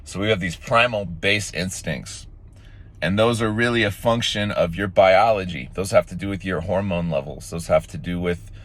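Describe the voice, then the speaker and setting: A man with a raspy, sore-throated voice, casually talking to his viewers in a rambling vlog with many fillers and restarts. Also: low-pitched, normal speed, a bit bright. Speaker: vlogger. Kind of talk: casual morning vlog talk.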